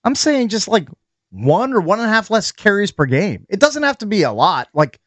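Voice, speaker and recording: A man co-hosting a sports radio show, talking football in a casual, animated way with a nasal voice. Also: high-pitched, moderate pace, dry recording. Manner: animated, laughs often, argues his point.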